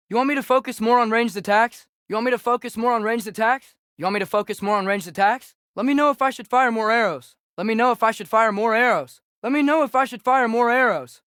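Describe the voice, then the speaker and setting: A man's voice: very high-pitched, fairly bright tone, no room sound, studio quality, full character voice. A young male actor with an American accent voicing an eager, earnest teenage boy archer in video game combat callouts. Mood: helpful, calm. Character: eager teenage boy warrior archer, loyal, quick to react.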